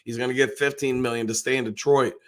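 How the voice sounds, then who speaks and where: An older man with a hoarse voice, hosting a casual live stream and rambling along with plenty of fillers. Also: medium pitch, steady tone, fast. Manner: rambling, folksy, lots of fillers. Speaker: host.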